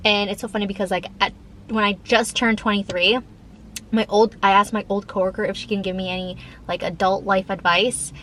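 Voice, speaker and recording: Female vlogger, 30, informal rambling chat, mid-pitched with a slight lift, some background noise. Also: flat delivery, fairly quick, a bit bright, poor audio. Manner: informal, rambling, frequent filler "like". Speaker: lifestyle vlogger. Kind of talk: casual chat to camera.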